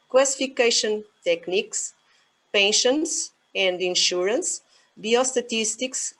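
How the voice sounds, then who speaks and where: A woman's voice: mid-range voice, fairly bright tone, poor audio. A woman with a Portuguese accent chairing an online conference session, often hesitating with fillers. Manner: hesitant, many fillers, switches between Portuguese and English. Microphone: video call.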